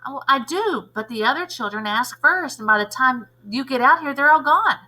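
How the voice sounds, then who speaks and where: A woman's voice: high-pitched, expressive, normal speed, sharp tone, voice pushed up, heavily acted, rough recording. A woman voicing a teasing, upbeat male schoolyard ball keeper, coaxing and playfully insistent in a storybook. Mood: placating.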